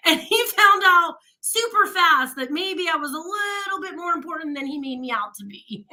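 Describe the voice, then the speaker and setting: Woman, radio host, casual chat, chatty, laughs often, high bright voice. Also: animated, moderate pace.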